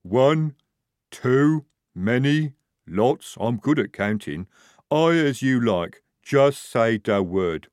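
A man reading a story, voicing a slow, simple female troll soldier who talks plainly and cheerfully. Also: mid-range voice, animated, dry recording, studio quality.

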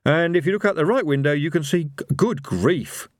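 A man's voice: a little high, moderate pace, no echo, pitched above the performer's own voice, close to an everyday voice. A man voicing a nervy, cowardly fellow who is hesitant, dry and self-justifying, in a storybook reading. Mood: nervous. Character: Rincewind, nervy cowardly man, wry and evasive.

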